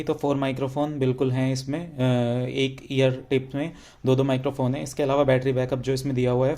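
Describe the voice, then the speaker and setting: A man's voice: mid-range voice, darkish tone. An Indian man giving a casual, explanatory product review, mixing English phrases into his speech. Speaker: gadget reviewer.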